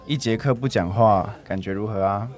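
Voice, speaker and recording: A man playing a laid-back high school boy in a radio drama, nonchalant and matter-of-fact. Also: moderate pace, natural voice. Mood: teasing.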